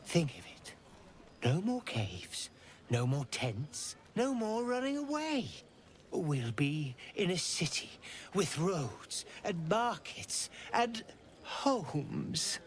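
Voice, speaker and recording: A slow-spoken, hopeful refugee. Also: medium pitch, big pitch swings, slight background noise. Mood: hopeful, yearning. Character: hopeful, dreamy weary refugee. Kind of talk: video game character dialogue.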